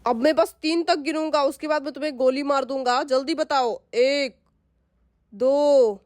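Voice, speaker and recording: एक महिला एक बंदूकधारी पुरुष डाकू की आवाज़ निकालती है, भारी और धमकी भरे, चिढ़ाने वाले अंदाज़ में।. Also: female voice, high voice, fairly even intonation, unhurried, a little mellow, no echo, studio quality. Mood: menacing. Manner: gruff, threatening, mocking. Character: menacing male dacoit kidnapper with a gun.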